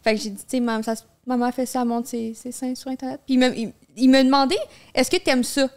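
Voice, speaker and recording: A woman in her thirties with a Quebec French accent, her voice sitting a little high. She speaks openly and laughs often as a podcast guest. She is recorded on a boom-arm studio mic in a small room. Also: steady tone, fast, a little mellow, smooth. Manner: candid, laughs easily while telling stories. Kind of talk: casual chat. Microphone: studio microphone on a boom arm.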